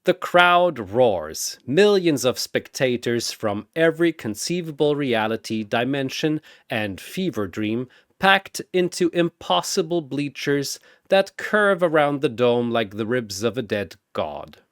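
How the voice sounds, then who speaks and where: A man's voice: mid-range voice, animated, a little slow, few pauses, no echo, clean studio sound. A man giving a dramatic, theatrical narration of a scripted story.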